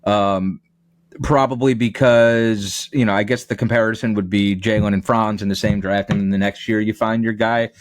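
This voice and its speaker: A man's voice: fairly low pitch, fairly even intonation, moderate pace, no echo. A man giving conversational sports analysis as a podcast guest. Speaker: radio play-by-play announcer, podcast guest.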